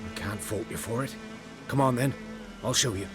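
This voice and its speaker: A man's voice: medium pitch, normal speed, over music, natural voice. An actor playing a wary, plain-spoken village huntsman in a video game, with a folksy, brooding manner. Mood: wary, obliging.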